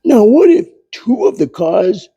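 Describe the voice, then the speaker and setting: Upbeat young cartoon cat pal, voiced by a man: high, mellow, coaxing, made for a children's storybook. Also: very animated, unhurried, vocal fry, slightly rough, lo-fi sound, full character voice. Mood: quizzing.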